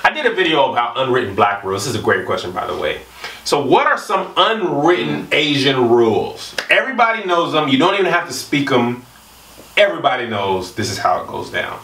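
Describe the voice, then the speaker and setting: A man asks questions and tells funny stories in a lively voice that rises and falls a lot. He sits back from the mic in a roomy, echoey space. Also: mid-range voice, brisk, far from the mic. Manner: joking, expressive storyteller. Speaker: co-host asking questions. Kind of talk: casual panel chat.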